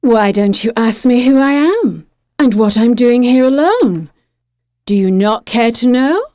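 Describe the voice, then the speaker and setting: A woman playing a beautiful young siren in an audio drama, imperious, capricious and teasing, with deliberate delivery. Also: on the high side, expressive, dark tone, dry recording, studio quality. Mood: imperious, coy.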